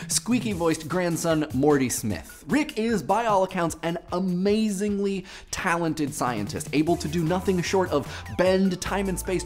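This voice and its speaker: An online video host narrating a scripted explainer with quick, wry asides. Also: mid-range voice, darkish tone.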